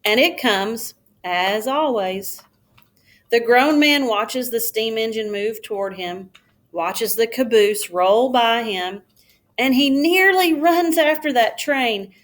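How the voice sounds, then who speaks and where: Slow-paced woman reading a children's storybook. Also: a little high. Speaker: elementary school teacher reading aloud. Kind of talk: children's storybook read-aloud.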